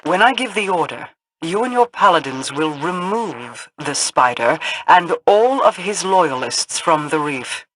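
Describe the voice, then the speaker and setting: Bright-voiced, regal queen. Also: low-pitched, expressive, full character voice, low-quality recording. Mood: commanding. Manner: formal, commanding, measured. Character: regal, aloof queen of a fantasy sci-fi realm. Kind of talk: scripted video game acting.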